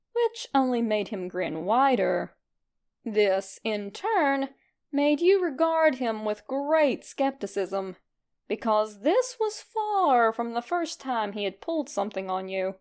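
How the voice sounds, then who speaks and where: A woman reading fan fiction aloud as narrator, in an expressive scripted storytelling style. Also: a little high.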